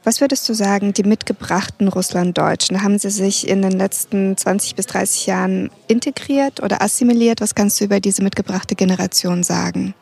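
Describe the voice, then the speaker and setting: A woman's voice: medium pitch, steady tone, a little fast, vocal fry, hardly any pauses, a little mellow, slightly rough. A woman presenting and asking interview questions in a prepared, scripted way.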